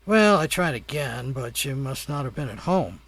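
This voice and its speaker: A man's voice: medium pitch, very animated, normal speed, a bit bright, no echo. A man playing an evasive, hesitant boyfriend making excuses in a comic radio drama. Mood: sheepish. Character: Jack, evasive young man dodging a girlfriend.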